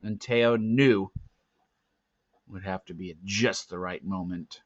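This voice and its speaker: Slow-paced man reading a story, roomy sound. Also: low voice. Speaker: narrator reading a novel aloud. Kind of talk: expressive storybook read-aloud. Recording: dull lo-fi, roomy echo.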